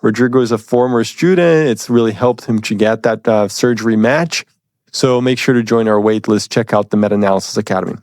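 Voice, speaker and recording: A man's voice: fairly low pitch, fairly quick, a little mellow, no room sound, clean studio sound. A man hosting a podcast in an upbeat, enthusiastic way, with many fillers. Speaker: podcast host.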